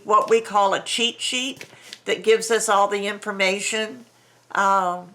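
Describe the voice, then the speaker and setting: An older woman with a bright, clear tone and a slightly stuffy, congested nose. She talks slowly and chattily, wandering off track and restarting sentences. Recorded at home on a camera mic that sometimes catches her from farther away. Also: medium pitch. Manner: chatty and rambling, with restarts and small laughs. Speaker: vlogger unboxing a craft subscription box. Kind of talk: casual unboxing chat.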